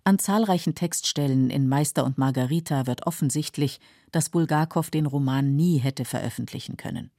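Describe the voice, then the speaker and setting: A woman narrating a scripted literary radio feature in a measured, explanatory manner. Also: sits low, warm tone, dry recording, studio quality. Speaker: documentary narrator.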